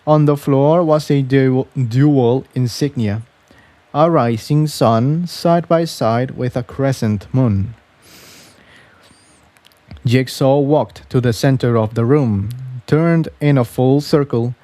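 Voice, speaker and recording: A man's voice: medium pitch, warm tone, no echo. A man reading a scripted story aloud as a narrator. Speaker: audiobook narrator.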